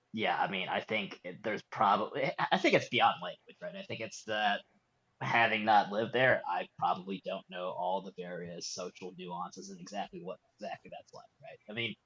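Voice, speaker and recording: A man's voice: lowish voice, pushed and bright, husky, lo-fi sound. A man on a film podcast, speaking thoughtfully and hedging. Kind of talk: casual podcast discussion.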